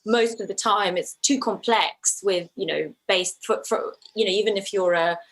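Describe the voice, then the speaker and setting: A woman's voice: medium pitch, normal speed, fairly bright tone, rough recording. A woman from Ukraine speaking as an interview guest, a documentary filmmaker who answers conversationally and thoughtfully, often restarting her phrases.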